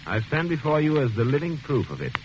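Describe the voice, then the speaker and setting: A man's voice: medium pitch, very animated, fast, darkish tone, a little hiss or hum, close to an everyday voice. An actor playing a cold, calculating male convict who torments his cellmate, in old radio drama style from a dull, dated recording. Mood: smug. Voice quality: smooth, precise, sinister. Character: cold, scheming male convict, cruelly intellectual. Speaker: prisoner narrating his scheme. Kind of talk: 1940s radio drama acting.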